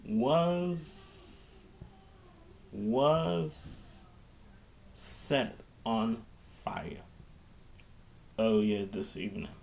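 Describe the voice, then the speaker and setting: Very slow, halting man giving an address. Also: medium pitch, expressive, gravelly, darkish tone, a little hiss or hum. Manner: halting, repeats and restarts phrases. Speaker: speaker giving a mayor's public address. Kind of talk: improvised public address.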